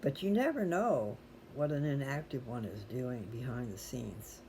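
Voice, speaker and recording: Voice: mid-range voice, lively intonation, measured pace, mellow, a little hiss or hum. A chatty, folksy host talking casually and digressively to viewers. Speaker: host reading scripture aloud for viewers. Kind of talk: casual rambling personal talk to viewers.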